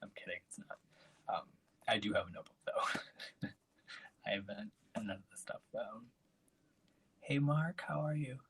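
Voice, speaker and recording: A man, hosting a casual live stream and chatting in a soft, rambling way. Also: lowish voice, lively intonation, a little slow, pauses often, a little hiss or hum, low-quality recording. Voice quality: raspy. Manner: soft, breathy and rambling, with trailing asides.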